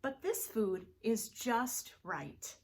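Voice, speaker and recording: A big, fussy teddy bear from a picture book read by a woman. He stretches out each choosy pronouncement slowly, with lively rises and falls. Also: fairly high pitch, slight background noise, pitched above the performer's own voice. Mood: contented.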